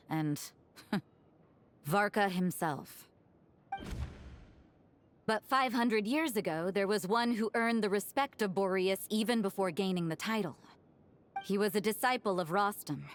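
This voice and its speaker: An actress playing a composed, wry woman in a fantasy game, recounting legends in a measured way. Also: mid-range voice, close to an everyday voice. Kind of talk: scripted game dialogue. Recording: game music behind the voice.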